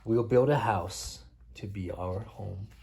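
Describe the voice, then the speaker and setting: A man reading picture books aloud as a father at bedtime, playfully pausing to chat with his child. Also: lowish voice, vocal fry, very noisy. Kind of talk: read-aloud picture book storytelling mixed with casual chat.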